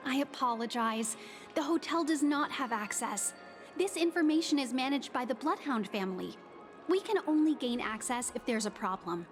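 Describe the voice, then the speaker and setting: A woman's voice: high-pitched, animated, normal speed, soft background music. A woman playing a courteous, formal hotel receptionist in a video game. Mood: polite, apologetic.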